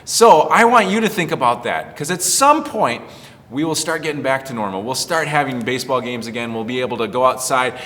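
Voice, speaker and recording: A man's voice: mid-range voice, animated, a little fast, sharp tone. Man talking to kids in a reverberant room. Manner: simple, explanatory address to children. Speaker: pastor and children's storyteller. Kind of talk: casual children's storytime talk.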